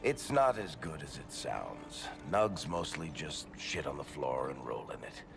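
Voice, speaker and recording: A man playing a roguish, wry dwarf storyteller in a video game, with a gravelly voice. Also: rumbling low, big pitch swings, a little slow, full character voice. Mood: wry, amused. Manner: wry, joking. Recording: loud music behind the voice. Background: game soundtrack music.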